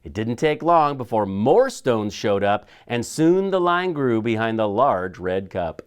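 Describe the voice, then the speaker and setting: Animated man reading a children's story. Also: mid-range voice, measured pace, clear, resonant tone. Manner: animated storytelling with dramatic shifts. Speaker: school superintendent reading aloud. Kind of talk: expressive children's picture book read-aloud.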